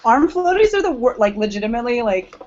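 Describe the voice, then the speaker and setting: A woman's voice: fairly high pitch, very animated, quick pace, darkish tone. A woman co-hosting a podcast in informal, self-deprecating casual chat. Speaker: podcast co-host. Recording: muffled lo-fi podcast recording.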